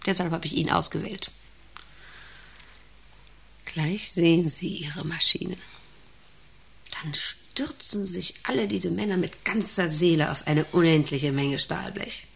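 A woman's voice: on the low side, takes it slowly, vocal fry, long pauses, slight background noise. An actress in a German radio play playing an imperious, obsessive female factory boss with a haughty, commanding, brooding manner. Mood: solemn, intense.

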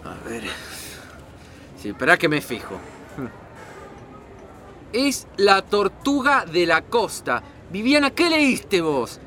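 An exasperated Argentine family father, played by a man, bossy and foul-mouthed in broad comic style. His voice runs very high and jumps around a lot, over clear urban background noise. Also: huge pitch swings, slow pace, pauses often, full character voice. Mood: irritated. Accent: Rioplatense Argentine Spanish. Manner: shouting, bickering, barking orders.

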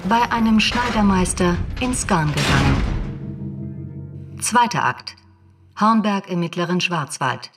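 A woman's voice: on the low side, animated, a little slow, long pauses. A woman reading scripted scene announcements in short, factual phrases. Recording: music behind the voice.

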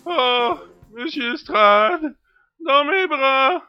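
A man's voice: very high-pitched, animated, very slow, muffled recording, over music, heavily acted. A man playing an effusive, fussy factory director who repeats himself and rambles in a science-fiction audio drama. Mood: overjoyed. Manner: effusive, repetitive, long-winded.

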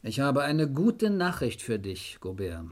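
An actor playing a dutiful, dryly teasing police assistant, matter-of-fact, in a German radio crime play. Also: male voice, medium pitch, very animated, unhurried.

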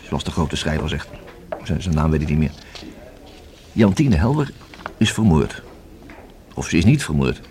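An actor playing a dry, deliberate, skeptical police detective in a Dutch radio play. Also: male voice, extremely low pitch, darkish tone. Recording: noisy old radio play recording.

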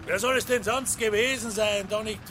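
An actor playing a self-important village major, politely insistent and prying, in a radio drama. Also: male voice, high voice, steady tone, normal speed, background noise, lightly acted.

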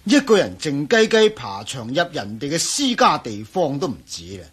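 A raspy, indignant male professor in a radio drama. His voice sits fairly high and leaps around dramatically as he huffs and protests in a Hong Kong accent. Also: huge pitch swings, moderate pace, a bit bright, exaggerated voice. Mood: huffy. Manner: huffy, exclaiming, sighing. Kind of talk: Cantonese radio drama acting.

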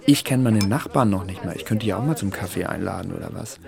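Irritable, self-critical man, swooping pitch. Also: lively intonation, fast, vocal fry, a crowd in the background. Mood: bleak, self-critical. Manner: argumentative, blunt, coarse-mouthed. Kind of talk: naturalistic conversational radio drama.

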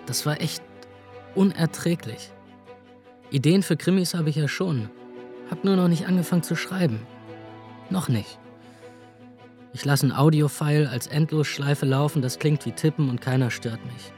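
An actor playing a drifting young would-be crime writer, giving a dry, self-deprecating monologue in a radio drama. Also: male voice, on the high side, normal speed, long pauses, darkish tone, faint music behind, lightly acted. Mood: wry, confessional. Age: young adult.

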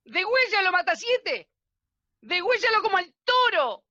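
A woman plays a cruel mob heckler in a radio drama, high and sharp, with wild swings of pitch. The jeering is bloodthirsty, on a dull, muffled recording. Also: big pitch swings, moderate pace, dry recording, heavily acted. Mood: frenzied cheering.

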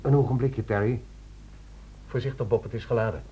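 An actor playing an earnest, soothing crisis-line helper in a radio drama, calm and reassuring. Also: male voice, medium pitch, a little fast, a little hiss or hum, natural voice, low-quality recording. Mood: soothing, hurried.

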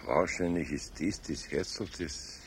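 An actor playing a kindly, patient older craftsman with a Bavarian accent in a children's radio play, husky, homely and a little hesitant. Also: sits low, fairly even intonation, slow pace, a little hiss or hum. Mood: curious. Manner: hesitant, good-natured, homely.

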